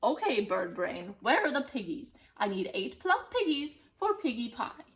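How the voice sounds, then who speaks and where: A woman's voice: a little high, very animated, moderate pace, fairly bright tone. A woman voicing a cranky, scheming old witch in a storybook, with theatrical, bossy exclamations. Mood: demanding. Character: cranky, scheming hag witch craving piggy pie. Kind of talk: storybook character voice.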